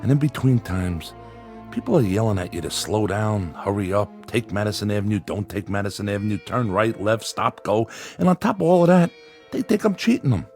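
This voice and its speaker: Troubled, plaintive husband, wide-ranging pitch. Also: male voice, animated, brisk, darkish tone, faint music behind. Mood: aggrieved. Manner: plaintive, earnest. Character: troubled, anxious, aggrieved male cab driver husband. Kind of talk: radio drama acting.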